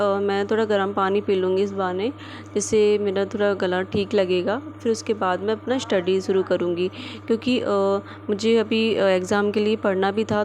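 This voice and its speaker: A woman's voice: mid-range voice, a little mellow, slight background noise. A young woman telling about her day in a casual voice-over, chatty and full of fillers, her throat a little sore. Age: young adult. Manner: chatty, with many fillers and English words mixed in. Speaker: medical student vlogger. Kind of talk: casual vlog voice-over narration.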